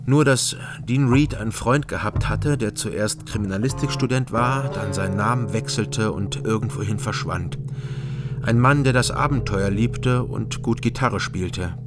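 A man's voice: lowish voice, measured pace, over music, lightly acted. An actor playing a laconic ex-policeman turned private detective, narrating a radio drama in a dry, matter-of-fact way.

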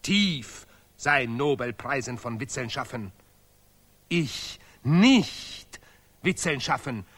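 An actor playing a vain, touchy Nobel laureate artist in a stylized radio play, declaiming emphatically in deliberately broken, repetitive German. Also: male voice, medium pitch, lively intonation, a bit bright. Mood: boastful. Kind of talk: stylized avant-garde radio play acting.

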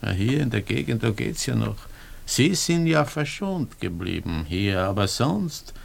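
A man's voice: on the low side, big pitch swings, measured pace, gravelly, heavily acted. An actor playing a bereaved, ruined Viennese businessman turned scrounger, rambling plaintively with bitter irony in a radio play. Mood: wry lament. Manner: rambling, plaintive, repeating himself. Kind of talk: German-language radio play acting.

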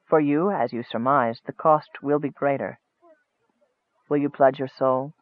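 A man voicing a frail, sickly, bitter dark wizard in a fantasy audiobook, softly rasping and mocking, on a muffled recording. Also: on the high side, animated, dry recording, natural voice. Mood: soft, ominous. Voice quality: soft, rasping, breathy. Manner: mocking, cryptic, measured.

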